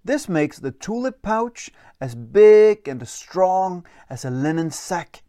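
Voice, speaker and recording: A storyteller narrating a children's bedtime story in an expressive, deliberate way. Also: medium pitch, no echo, clean studio sound.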